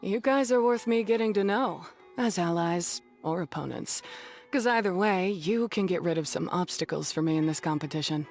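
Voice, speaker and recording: A woman's voice: lowish voice, expressive, normal speed, a little mellow, faint music behind. A woman playing a blunt, self-effacing mercenary beast tamer in a video game, plain-spoken and matter-of-fact with folksy slang. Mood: frank, confident.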